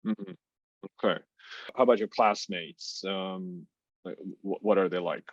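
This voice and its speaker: A man's voice: deep voice, a little slow, vocal fry, dry recording. A man interviewing a student guest, hesitant with frequent "uh" fillers. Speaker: interviewer host. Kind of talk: hosted interview. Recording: dry, dull lo-fi sound.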